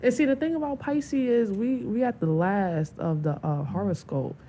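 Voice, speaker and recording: A woman's voice: mid-range voice, normal speed, warm tone, a little hiss or hum. A woman guest on a podcast with a New York accent, talking in a laid-back, rambling way with lots of fillers. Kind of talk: casual chat.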